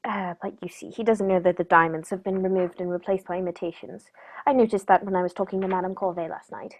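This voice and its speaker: A composed gentleman detective from a radio play, acted by a woman. The voice sits at a middle pitch and speaks with precise, dryly polite, measured care. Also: steady tone, natural voice.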